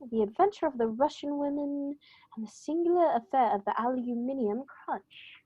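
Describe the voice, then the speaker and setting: A woman's voice: sits high, moderate pace, mellow, breathy edge, highs cut off. A woman playing an eccentric, untidy Victorian male detective with an airy, teasing manner in a radio play. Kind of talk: amateur radio play acting.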